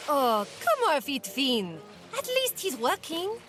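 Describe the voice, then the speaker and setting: A woman's voice: sits high, wildly swooping pitch, takes it slowly, fairly bright tone, faint music behind, heavily acted. A woman playing a mocking, no-nonsense sailor in an audio drama, with a sardonic manner.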